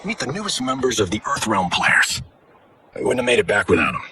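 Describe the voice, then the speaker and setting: Cocky wisecracking action star. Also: male voice, fairly low pitch, big pitch swings, a little fast, background noise. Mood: wry. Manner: flippant, casual, slangy. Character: cocky, wisecracking male movie-star fighter. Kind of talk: cinematic video game acting. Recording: music behind the voice.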